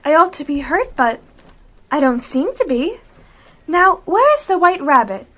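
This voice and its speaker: A woman's voice: high voice, sing-song, unhurried, bright, forceful tone, slight background noise, full character voice. A young female amateur playing a curious, impulsive little girl in a radio play. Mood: wondering.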